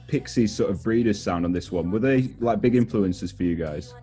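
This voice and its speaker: A young man puts casual questions as an interviewer. His voice is fairly low, recorded on a headset over a video call, with faint music behind. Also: moderate pace, warm tone. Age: young adult.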